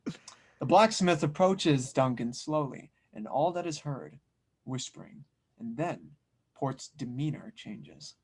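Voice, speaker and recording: A man's voice: mid-range voice, expressive, slow pace, husky. Casting: man with a mid-range voice as narrator, reading scene directions for an audio drama. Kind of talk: scripted narration, table read.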